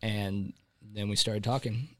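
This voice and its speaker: A man's voice: on the low side, fairly even intonation, unhurried, fairly bright tone, no echo. A man in his twenties, a podcast guest chatting in a joking, easygoing way. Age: 20s. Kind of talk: casual chat.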